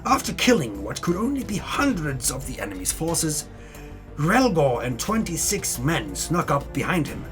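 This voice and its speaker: A man with a slightly high voice reads a fantasy tale as a grand dramatic narration. His delivery is theatrical and grandiose, broken by dry, tongue-in-cheek asides. Music plays softly behind him. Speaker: narrator recapping a tabletop campaign. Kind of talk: dramatic reading, mock-epic scripted narration. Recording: music under the voice. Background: background music.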